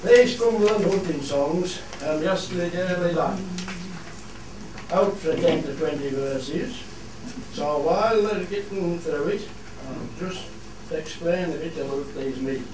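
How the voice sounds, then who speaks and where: High-pitched, measured Cumbrian shepherd played by a man. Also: lightly acted. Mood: explanatory. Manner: plain, explanatory, measured. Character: plain-spoken Cumbrian fell shepherd explaining local customs. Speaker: narrator and shepherd in a radio play. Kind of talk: scripted radio play monologue in dialect. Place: theatre studio. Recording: echoey hall, distant mic, clear noise.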